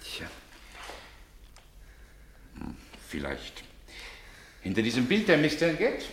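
An actor playing a suave, urbane stage and film star in a German radio crime drama, lightly playful and obliging. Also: male voice, medium pitch, wildly swooping pitch, takes it slowly, background noise, heavily acted.

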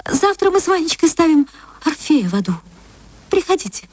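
A woman's voice: high voice, big pitch swings, a little fast, a little mellow, voice pushed up. A woman voicing a naive, devoted young wife with an earnest, gushing manner in a radio story reading. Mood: earnest, eager. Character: naive, devoted young wife echoing her husband's opinions.

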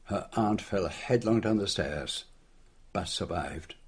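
A man's voice: low voice, lively intonation, slow, slightly rough, heavily acted. An actor playing a shrewd, self-assured male detective with a French accent, speaking precisely and formally in a radio drama. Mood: grave explaining.

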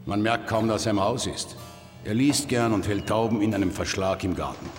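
A male actor playing a gruff, impatient old grandfather in a radio drama. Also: on the low side, normal speed, long reverb tail, soft background music, natural voice. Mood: matter-of-fact. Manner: brusque.

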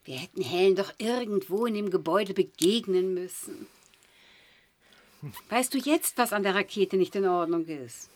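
A woman's voice: mid-range voice, normal speed, a little mellow, a little hiss or hum, natural voice. An actress playing a naive, dreamy, stranded wife and mother in a science-fiction radio drama, airy and earnest. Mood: brightly hopeful.

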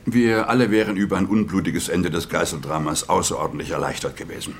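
Stiff official spokesman, lowish voice, dry and sarcastic w/ the press, bit of hiss behind. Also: steady tone, fast talker, creaky. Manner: formal, curt, sardonic with reporters. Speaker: government spokesman at a press conference. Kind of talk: radio drama acting.

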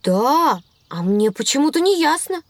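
A woman's voice: high voice, very animated, a little slow, noisy background, heavily acted. A woman voicing a curious, earnest child pupil who reasons aloud in a children's educational audio drama. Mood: inquisitive. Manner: inquisitive, careful reasoning aloud.

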